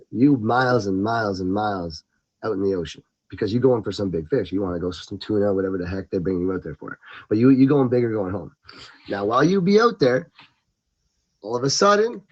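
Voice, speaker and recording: A man hosting a casual live stream show in slangy, animated, informal talk, speaking straight to the audience. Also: fairly low pitch, slightly rough. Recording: dull lo-fi streaming audio.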